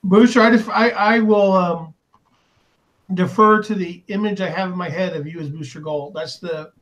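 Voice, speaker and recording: A man chats wryly on a voice call. His voice sits high for a man. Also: fairly even intonation, moderate pace, fairly bright tone. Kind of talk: casual group chat.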